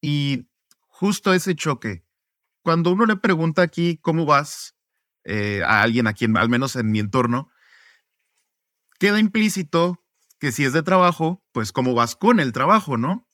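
A man from Mexico, a podcast guest, answering reflectively in a relaxed interview and often ending points with tag questions. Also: on the high side, unhurried, no room sound, studio quality. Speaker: podcast guest, student advocate.

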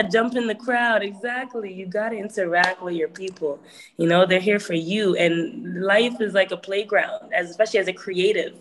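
A woman's voice: medium pitch, rough recording. Chatty woman musician giving advice. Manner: chatty, frequent fillers like "you know". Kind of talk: casual advice talk.

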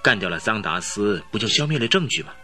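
A cold, scheming young gang boss in a radio drama. His voice sits low but bright and sharp, swinging widely in pitch as he plots calmly and chuckles to himself. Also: fast talker, soft background music. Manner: smug, calculating, chuckling.

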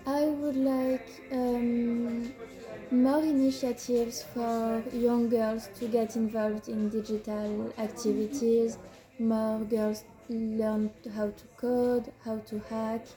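A woman's voice: fairly high pitch, flat delivery, slow, dark tone, soft background music, low-quality recording. A woman from France, a librarian, answering interview questions in a hesitant way, stopping to look for words.

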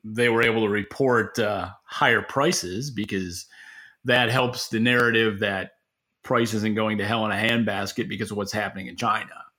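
An American man talks as a podcast co-host in a casual, analytical style. His voice is fairly low but bright and forceful. He puts things in a plainspoken, folksy way. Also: steady tone, moderate pace. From Western New York, United States.

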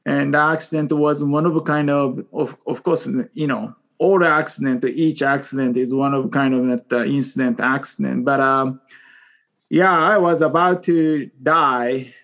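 A man in his forties with a Japanese accent tells his own story as an interview guest. He is a native Japanese speaker and talks casually in mid-pitched English, often halting. His manner is joking and self-deprecating. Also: fairly even intonation, fairly bright tone. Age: 40s. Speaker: podcast guest.

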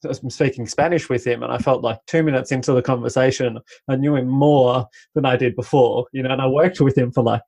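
A man's voice: medium pitch, fairly even intonation, a little fast, gravelly, hardly any pauses, darkish tone. A man chatting casually and enthusiastically, rambling a bit and laughing often. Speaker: conversation partner. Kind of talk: casual chat.

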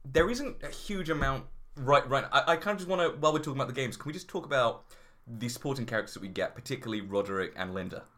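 A man's voice: mid-range voice, quick pace. A man from Greater Manchester co-hosting a podcast, chatting casually and enthusiastically. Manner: enthusiastic, lively. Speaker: podcast co-host.

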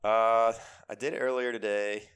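Low-voiced man with a cold. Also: normal speed, clean tone. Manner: animated, emphatic with repeated phrases. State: has a cold, voice lower than usual. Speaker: podcast co-host. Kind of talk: casual sports chat.

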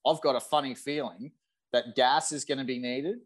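A man with a medium-pitched voice talks casually on a gaming podcast. He is keen and opinionated, happy to speculate at length in a conversational way. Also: steady tone, normal speed.

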